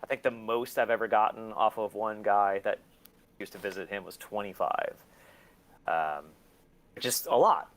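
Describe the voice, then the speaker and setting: A man's voice: lowish voice, moderate pace, fairly bright tone. A man chatting casually as an interview guest, candid, profane and self-deprecating, telling rambling stories and acting out quotes. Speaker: guest, collector.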